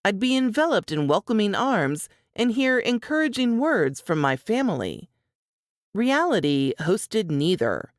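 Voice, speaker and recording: A woman narrating a suspense novel in the first person, with deliberate, dramatic storytelling delivery. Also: medium pitch, very animated, no room sound, studio quality.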